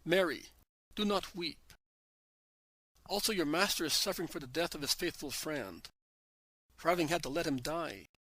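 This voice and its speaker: A man voicing a calm, grave male teacher who consoles and commands in a devotional story reading. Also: fairly high pitch, no room sound, poor audio. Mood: firm commanding. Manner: measured, solemn, consoling.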